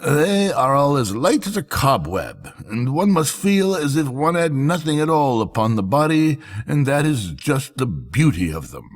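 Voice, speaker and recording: A man's voice: mid-range voice, big pitch swings, big-room sound, pitched above the performer's own voice. A man voicing an obsequious swindler weaver in a storybook, coaxing and showing off with flourish. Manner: slow, showy, coaxing presentation. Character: smooth-talking obsequious swindler weavers flattering a monarch.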